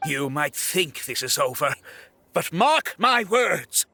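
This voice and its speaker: A bitter, vengeful game villain, drawing his threats out very slowly. The voice is high for a man, creaky and forceful, with theatrical menace. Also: sing-song, a little hiss or hum, exaggerated voice. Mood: bitter, threatening. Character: defiant, vengeful villain henchman, bitter in defeat. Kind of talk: video game villain acting.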